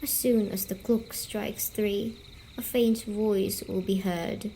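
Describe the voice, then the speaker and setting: Woman playing a slow, mellow, gentle demon woman. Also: mid-range voice, steady tone, a little hiss or hum. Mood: hushed storytelling. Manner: slow, soothing, coaxing, softly spoken. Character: gentle, teasing female sleep paralysis demon, comforting storyteller. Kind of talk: ASMR story-telling roleplay audio.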